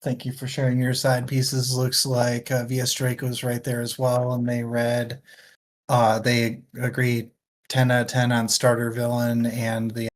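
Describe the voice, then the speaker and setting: A man's voice: medium pitch, completely flat delivery, a little slow. A man hosting a casual book chat livestream, talking in a rambling, jokey and self-deprecating way. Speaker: book club podcast host.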